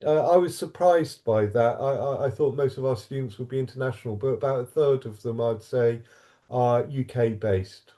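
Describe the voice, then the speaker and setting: A man's voice: mid-range voice, moderate pace, warm tone. A man from a university programme answering questions in a webinar, hesitant and full of fillers and restarts. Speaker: programme staff member.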